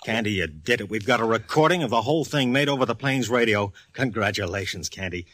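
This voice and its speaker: A brisk police inspector, quick and matter-of-fact. His voice is fairly low, and the sound is dry and close. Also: lively intonation, a bit bright, no room sound. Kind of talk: 1940s radio acting.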